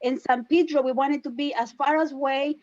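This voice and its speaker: A woman's voice: high voice, steady tone, moderate pace, a little mellow, dull audio. A woman from El Salvador with a Salvadoran Spanish accent, speaking insistently and defensively as a public commenter at an online town hall. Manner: insistent and defensive, repeats points.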